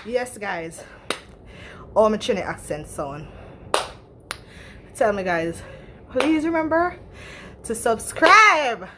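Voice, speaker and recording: A woman's voice: fairly high pitch, lively intonation, takes it slowly, pauses often, background noise. Chatty Jamaican woman vlogging at home. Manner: chatty and playful, breathless after exercise. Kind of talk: casual home workout vlog. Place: at home, in her bathroom.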